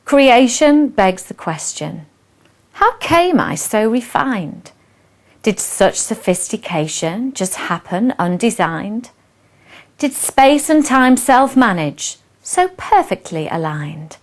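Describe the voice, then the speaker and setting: Slow, expressive woman reciting poetry. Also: fairly high pitch. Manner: measured, dramatic phrasing with hushed line endings. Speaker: poet and presenter reading verse.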